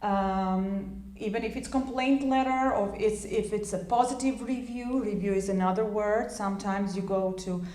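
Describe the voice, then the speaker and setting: A woman whose first language is Croatian talks through an English lesson in a loose, chatty way, often restarting her sentences and leaning on fillers. Her voice sits at a middle pitch. The room around her gives a noticeable echo. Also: steady tone, moderate pace, few pauses, mellow. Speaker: English teacher. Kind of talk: informal spoken lesson.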